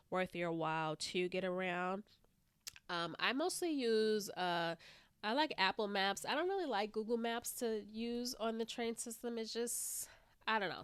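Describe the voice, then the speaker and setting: A woman's voice: medium pitch, clean tone, no room sound. A woman hosting her own podcast, talking in a chatty, rambling way with a slightly stuffy, congested voice. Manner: chatty, rambling, lots of "like" and "you know". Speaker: podcast host. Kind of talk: casual solo podcast monologue.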